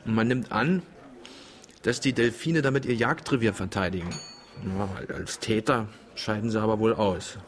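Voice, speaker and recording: An actor playing a good-natured, conflict-shy tour boat skipper with a wry streak in a German radio drama. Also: male voice, on the low side, normal speed, close to an everyday voice. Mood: subdued, matter-of-fact.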